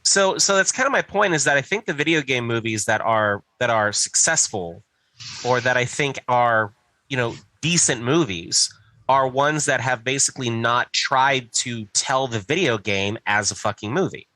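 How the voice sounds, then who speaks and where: A man's voice: medium pitch, animated, moderate pace, sharp tone, no echo, poor audio. A man hosting a casual podcast chat, making points emphatically and swearing freely. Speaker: podcast host.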